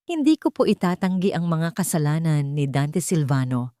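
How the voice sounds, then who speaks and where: A woman voicing a dignified, determined widow who speaks calmly and firmly in a story. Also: low voice, moderate pace, dry recording, studio quality. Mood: sorrowful, resolute. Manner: clear, calm, firm. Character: dignified, determined young widow standing up for herself.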